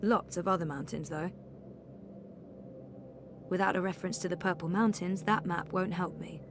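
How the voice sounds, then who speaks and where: A woman's voice: lowish voice, steady tone, normal speed, creaky, background noise. An actress playing a guarded, curious, level-headed young woman adventurer in a video game, speaking thoughtfully and with understatement. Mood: matter-of-fact. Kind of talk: video game dialogue acting.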